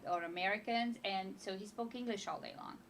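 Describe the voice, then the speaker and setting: Gravelly woman with a slight Romanian accent. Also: mid-range voice, fairly quick, fairly bright tone, slight background noise. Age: 50s. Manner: rambling, with frequent fillers and restarts. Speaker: financial advisor guest. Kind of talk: casual interview chat.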